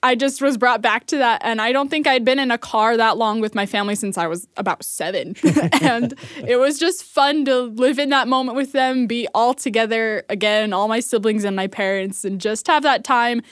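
Young american woman chatting on a radio panel, bit high pitched, dry studio sound. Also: normal speed, clean tone, dry recording. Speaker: assistant producer, panelist. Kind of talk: casual chat.

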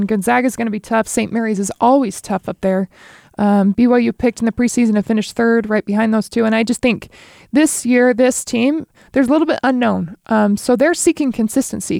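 Woman, basketball analyst, gravelly edge, slightly high, dry studio sound. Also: darkish tone, dry recording. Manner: confident, explanatory, asks "right?" often. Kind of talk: sports analysis talk.

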